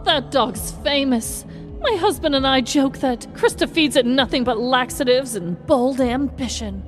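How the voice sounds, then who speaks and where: Casting: a woman voicing a nosy, melodramatic suburban neighbor lady, high-ish voice, soft music underneath. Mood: gossipy. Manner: theatrical, conspiratorial, hushed storytelling. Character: nosy dramatic middle-aged woman neighbor. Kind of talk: comedy audio sketch.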